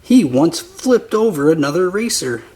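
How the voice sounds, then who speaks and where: A man reading a children's picture book aloud as narrator, with simple, deliberate phrasing for young listeners. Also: fairly high pitch, big pitch swings, mellow. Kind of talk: slow scripted children's story narration.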